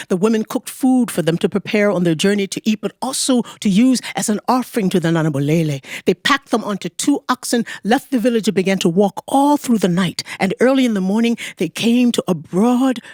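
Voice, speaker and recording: A woman storyteller narrating a folktale in a deliberate, expressive way, with dramatic pauses. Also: mid-range voice, dry recording, clean studio sound.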